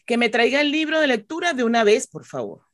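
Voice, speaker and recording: A woman's voice: fairly high pitch, big pitch swings, quick pace, a bit bright. A woman playing a polite, kindly and obliging schoolteacher in a radio drama.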